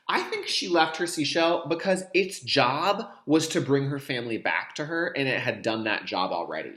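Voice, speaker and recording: A man reading aloud as a kindergarten teacher, expressive and dramatic, pausing to explain things to young listeners. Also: mid-range voice, echoey room. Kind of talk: read-aloud storytelling with teaching asides.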